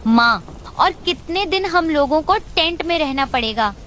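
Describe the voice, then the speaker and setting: A woman's voice: sits high, animated, normal speed, fairly bright tone, a little hiss or hum. A woman voicing a childlike, pleading little daughter bird in a cartoon. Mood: hungry, pleading. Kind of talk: Hindi cartoon voice acting.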